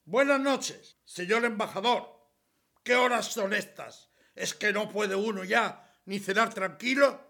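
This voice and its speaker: A man with a Spanish accent playing an irritable, pompous 1930s army general in an audio drama, grumbling slowly. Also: high-pitched, vocal fry, bright, forceful tone, exaggerated voice. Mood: irritated, haughty. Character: irritable, imperious rebel army general in 1937 Spain.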